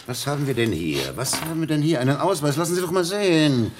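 A cocky navy man in a radio play, bossy and suspicious. His voice runs fairly high and animated, with sardonic, mock-polite taunting. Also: a little hiss or hum. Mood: smug. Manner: commanding, sardonic, drawn-out taunting.

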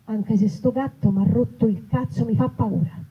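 A woman's voice: on the high side, steady tone, normal speed, slightly rough. A woman voicing an exasperated, coarse Roman woman grumbling on the phone, in a live story reading. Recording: dull, noisy live event recording.

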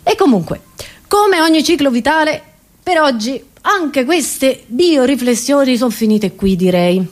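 A woman's voice: sits high, animated, a little slow. A woman performing a stand-up comedy monologue in a theatrical, playful way, with conversational asides to her listeners.

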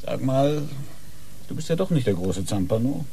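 An actor playing a hard, sarcastic police inspector grilling suspects in a radio crime drama, pressing and mocking. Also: male voice, medium pitch, animated, moderate pace, warm tone, breathy edge. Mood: sly, pressing.

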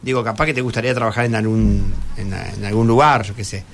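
A man's voice: fairly low pitch, steady tone, fast talker, slight background noise. An Argentine man hosting a casual radio interview, conversational, often rephrasing and checking in with his guests. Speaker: radio host interviewing students. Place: radio studio.

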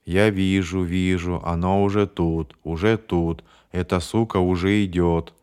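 A deranged man droning in a hollow, toneless voice, low and dragging, as a male reader puts him on. Every word comes out flat and lifeless in this storybook horror. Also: smooth. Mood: eerie monotone. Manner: slow, flat, toneless chanting.

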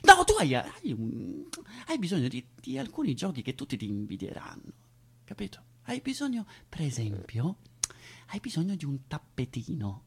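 A man's voice: fairly high pitch, wildly swooping pitch, normal speed, gravelly, breathy edge, exaggerated voice. An actor playing a fussy, coaxing male know-it-all who stammers and insists as he bosses a child in a radio play. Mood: hushed coaxing. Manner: insistent, stammering, repeats words, swinging into hushed tones. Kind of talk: theatrical radio play acting.

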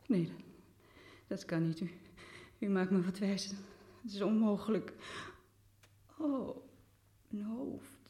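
A woman playing a confused, frightened young woman prisoner in a radio play, talking in halting, stammering phrases. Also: mid-range voice, warm tone, reverberant, lightly acted. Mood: dazed.

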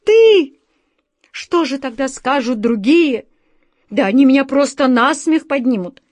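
A woman's voice: on the high side, unhurried, a little mellow, no room sound, voice pushed up. Woman playing a panicky flustered director. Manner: flustered, stammering, pleading. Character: nervous office director, adult man, panicky.

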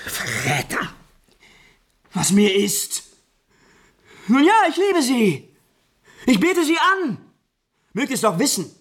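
A husky-edged young prince in a 1950s radio drama. He is imperious and lovesick, speaking emphatic with widely swinging pitch and theatrical diction. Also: wildly swooping pitch, slow, long pauses, fairly bright tone, heavily acted. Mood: anguished, furious. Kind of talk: 1950s German radio play acting.

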